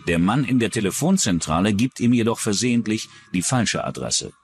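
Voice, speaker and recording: A man's voice: on the low side, lively intonation, fairly quick. A man reading scripted true-crime documentary narration as the narrator.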